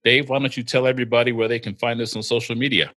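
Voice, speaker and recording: A man's voice: mid-range voice, little pitch movement, very fast, pushed and bright. A man hosting a casual travel podcast, joking with his co-host and stumbling through restarts and fillers.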